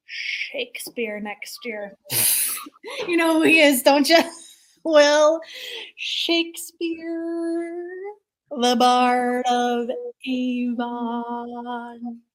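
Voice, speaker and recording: A woman's voice: sits high, steady tone, drawn-out words, long pauses. A woman playing a tipsy, haughty theater director in a comic staged reading, rambling and repeating herself. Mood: grandiose, tipsy.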